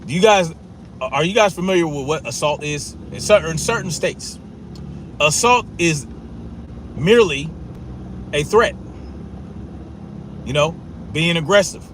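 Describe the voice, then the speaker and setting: Man, fairly high voice, stutters, blunt and profane; noisy outdoor recording. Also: lively intonation, measured pace, pauses often. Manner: forceful, profane, repetitive, with a stutter. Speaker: commentator. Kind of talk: blunt opinion monologue.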